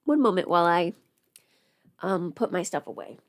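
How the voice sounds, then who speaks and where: A woman's voice: fairly low pitch, animated, normal speed, no room sound, studio quality. A woman chatting informally on a livestream, rambling and thinking aloud as she goes. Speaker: livestream host, audio creator. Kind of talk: casual livestream chat.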